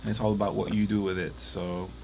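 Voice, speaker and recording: A man's voice: lowish voice, little pitch movement, fast, creaky, darkish tone, slight background noise. A man in his thirties explaining gear in a matter-of-fact way in a music studio. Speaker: musician giving a studio tour. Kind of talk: casual chat. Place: wood-panelled music studio.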